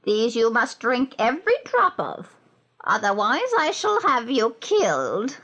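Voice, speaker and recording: Woman playing an imperious old witch queen, slow and swooping. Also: fairly high pitch, huge pitch swings, pushed and bright, lo-fi sound, exaggerated voice. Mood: gloating, menacing. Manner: slow, measured, menacing pronouncements.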